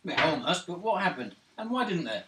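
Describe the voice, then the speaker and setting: A man's voice: fairly high pitch, sing-song, brisk, a bit bright. A man bantering casually as a player in a tabletop role-playing game. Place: room around a gaming table. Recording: roomy, distant mic, faint noise.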